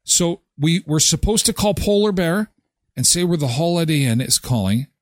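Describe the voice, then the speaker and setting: A man's voice: on the high side, expressive, moderate pace, no echo. Male radio host in a dry studio. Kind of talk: live show chat and event promo reading.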